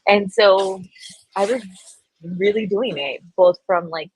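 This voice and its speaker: A woman from Colombia speaking as a guest in a casual interview, chatty and animated, laughing often and using lots of fillers. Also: medium pitch, slow pace, husky, no echo, low-quality recording.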